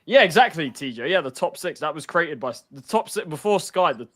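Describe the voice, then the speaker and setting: A man's voice: on the high side, animated, fairly quick, a bit bright. A man on an online call giving strong football opinions and laughing easily. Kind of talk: casual chat.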